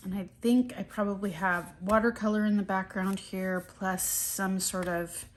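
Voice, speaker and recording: A woman's voice: medium pitch, normal speed. A middle-aged woman sniffling and a bit scratchy-throated from allergies, chatting casually through an art journal flip-through with lots of ums and restarts. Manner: relaxed, rambling, many ums and restarts.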